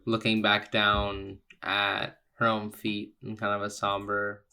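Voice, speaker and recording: A man's voice: lowish voice, monotone, takes it slowly, creaky, bright, forceful tone, clean tone. A man playing in a tabletop roleplaying game, casually narrating and speaking for a character.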